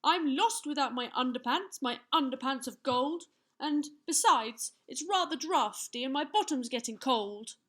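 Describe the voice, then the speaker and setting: A woman voicing a fussy, pompous king in a children's storybook, anxious, loud and lamenting. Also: sits high, expressive, a little slow, voice pushed up. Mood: pleading.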